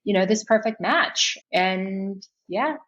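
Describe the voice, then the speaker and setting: A woman's voice: medium pitch, slow pace, dull audio. A woman in her thirties from Switzerland, telling her story conversationally as an interview guest. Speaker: podcast guest, nonprofit co-founder.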